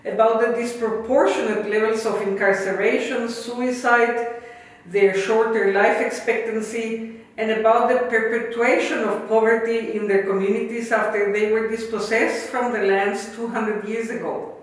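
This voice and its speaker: A woman's voice: on the high side, fairly even intonation, normal speed. A woman in her fifties from Guatemala with a Guatemalan Spanish accent, earnestly telling a prepared personal story in formal phrasing as an interview guest. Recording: echoey room.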